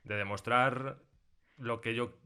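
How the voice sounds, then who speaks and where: A man's voice: mid-range voice, steady tone, takes it slowly, sharp tone, clean tone. A man, a sound designer explaining things casually in a studio interview. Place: recording studio in Madrid.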